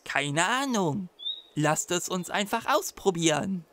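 A man's voice: sits high, expressive, slow pace, background noise. A man voicing an eager young boy who is a wizard's apprentice in a children's audio drama, patiently explaining things. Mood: encouraging. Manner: helpful, explaining.

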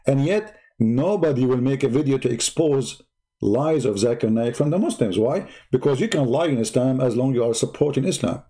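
Combative man, native Arabic speaker, husky. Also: medium pitch, lively intonation, normal speed, dark tone. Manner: combative, mocking, repeats rhetorical questions. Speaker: online debate host. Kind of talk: heated live-stream commentary.

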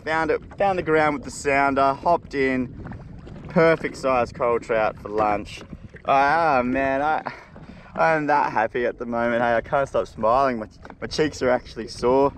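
A young Australian man vlogging from a jet ski at sea, talking with excited, slangy enthusiasm straight to his viewers through an action camera held at arm's length. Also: mid-range voice, normal speed, rough recording. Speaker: spearfishing vlogger. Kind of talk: excited vlog talk to camera. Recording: wind and water noise outdoors.

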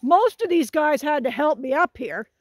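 Woman, sixties, chatty, joking, high voice, walking a rocky trail, phone mic, dry sound. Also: animated, moderate pace, no echo. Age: 60s. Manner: chatty, jokey, laughs often. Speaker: vlogger hiking with a group. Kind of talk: casual talk to camera. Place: outdoors on a wooded hilltop trail. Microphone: phone held while walking.

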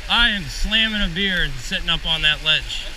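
A man's voice: fairly high pitch, expressive. A young man chatting casually and exclaiming while fishing outdoors. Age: young adult. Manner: exclaiming, informal. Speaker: angler in a fishing vlog. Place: outdoors by a snowy creek. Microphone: action camera mic. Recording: loud rushing water noise, action camera.

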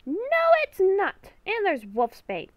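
A stubborn young woman , acted by a woman argues in a high voice, whiny and exasperated. She speaks unhurriedly and emphatically in an improvised game roleplay, recorded dry. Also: sing-song, slow pace, dry recording, exaggerated voice.

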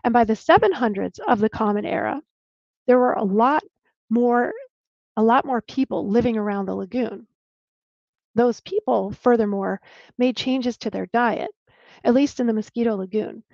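A woman archaeologist giving a slide talk over a video call, explaining clearly and sometimes restarting a word. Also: fairly high pitch, moderate pace, darkish tone, no echo. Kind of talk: presenting a lecture with slides. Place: home room on a video call. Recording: dull, lo-fi dry video call audio.

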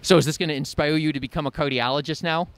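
A man's voice: a little high, steady tone, fast talker, clear, resonant tone. A middle-aged man working as an on-camera interviewer at an event, speaking into a handheld microphone in a large hall. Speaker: interviewer, blog host. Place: large convention hall with poster boards.

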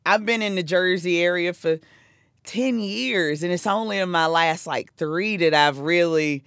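A woman's voice: lowish voice, unhurried, a bit bright, no echo, clean studio sound. A woman from South Carolina with a Southern accent, hosting a podcast interview in casual, enthusiastic chat, laughing often and restarting her sentences. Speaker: podcast host. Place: small dark studio with couches. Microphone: studio mic on stand.

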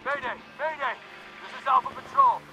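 A man's voice: very high-pitched, normal speed, dull audio, music in the background. A man playing a desperate, besieged soldier calling urgently for help in a war video game. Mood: panicked, desperate. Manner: urgent, panicked radio calling. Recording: loud gunfire and battle noise.

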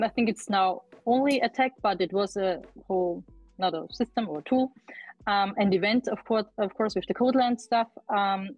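A woman's voice: mid-range voice, a little mellow, no room sound. Young woman guest on a dull line. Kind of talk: casual chat. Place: home room. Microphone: video call. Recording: dull, dry lo-fi sound.

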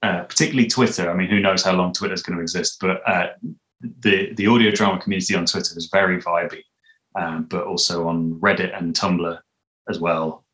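A man in his thirties explaining things haltingly in a casual video interview, speaking on a video call from a room at home. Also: sits low, steady tone, a bit bright, low-quality recording. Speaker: podcast creator, interview guest. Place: small indoor room at home. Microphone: video call, computer at arm's length.

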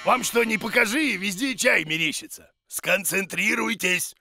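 An actor voicing an excitable, bossy, bumbling monster teacher in a children's cartoon, with a blustering, theatrical delivery. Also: mid-range voice, moderate pace, creaky. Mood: indignant. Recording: music behind the voice.

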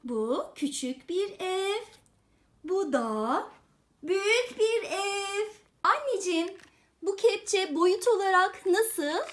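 A woman's voice: very high voice, animated, slow pace. A woman speaking as a mother teaching her young child, asking questions and praising in an affectionate, encouraging, repetitive way.

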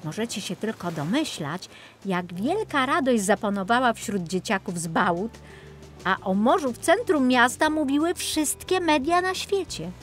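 A woman reading a scripted children's story in an expressive, playful storytelling manner. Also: on the high side, moderate pace, soft background music. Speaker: storyteller narrator.

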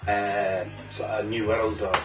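A man's voice: on the low side, slow. Chatty Scottish man from Aberdeen. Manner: relaxed, chatty, local slang like "wee" and "aye". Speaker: cigar review host. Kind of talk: casual chat. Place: home bar room, indoors. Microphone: camera mic at table distance. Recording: roomy, distant camera mic, faint music.

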